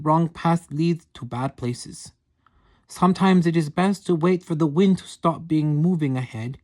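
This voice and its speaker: A woman's voice: low-pitched, a little mellow. Earnest young yeti girl, read by a woman: blunt, formal, broken English, told as a diary. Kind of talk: reading diary entries aloud.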